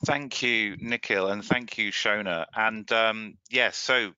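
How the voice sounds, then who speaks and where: Bright-voiced man opening a talk. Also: fairly low pitch, fairly even intonation, slow, clean tone, no echo. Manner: self-deprecating, repeats words when starting. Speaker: guest speaker. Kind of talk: presentation opening. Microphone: video call. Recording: dull, dry online call audio.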